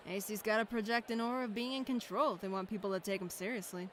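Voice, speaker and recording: A woman's voice: medium pitch, fast, a bit bright, a little hiss or hum, close to an everyday voice. A woman playing a blunt, street-smart local in a visual novel, speaking in a slangy, matter-of-fact way. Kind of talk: visual novel character dialogue.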